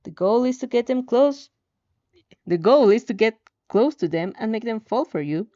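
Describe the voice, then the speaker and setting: A woman's voice: on the high side, animated, normal speed, mellow, lo-fi sound. A woman reading a story expressively, with casual, playful asides and the odd stumble over words. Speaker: fan fiction reader reacting as she reads.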